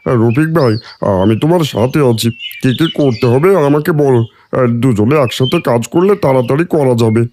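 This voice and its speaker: A man's voice: mid-range voice, a little fast, darkish tone, a little hiss or hum, close to an everyday voice. A man voicing a friendly, supportive village neighbour in a moral-story cartoon, curious and helpful.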